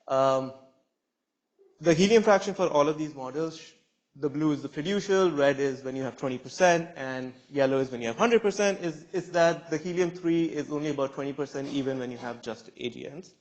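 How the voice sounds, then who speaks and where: A man's voice: on the high side, moderate pace, poor audio. Young man giving a scientific talk. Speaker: PhD student speaker. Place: lecture room at a conference.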